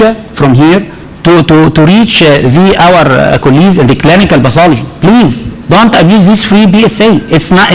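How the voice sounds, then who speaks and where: Male urologist on a panel; slightly high voice, halting, heavy fillers. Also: rough recording. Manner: halting, many fillers, repeats words for stress. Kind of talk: conference panel discussion.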